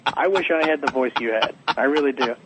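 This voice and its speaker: Slightly hoarse man, rambling and animated. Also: medium pitch, fairly quick, very noisy. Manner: rambling, animated, acts out quotes. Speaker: comics writer, guest. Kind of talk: casual interview.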